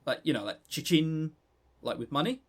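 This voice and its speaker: A man's voice: a little high, lively intonation. A man co-hosting a podcast in casual chat, jokey and mock-serious, often stammering and repeating words. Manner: halting, stammering repetitions. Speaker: podcast co-host.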